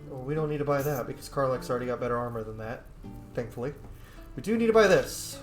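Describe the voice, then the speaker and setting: A man's voice: medium pitch, fast talker. A man giving casual gameplay commentary, muttering and talking to himself as he plays. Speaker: game streamer doing a playthrough. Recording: game music behind voice. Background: video game music.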